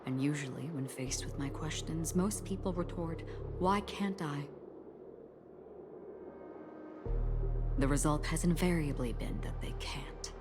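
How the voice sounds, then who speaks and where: A cool, aloof female wanderer from a video game, voiced by an actress, with a low voice. She speaks in measured, deadpan lines that carry quiet danger, with music playing behind. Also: close to an everyday voice. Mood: quiet disdain. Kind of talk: dramatic video game voice acting.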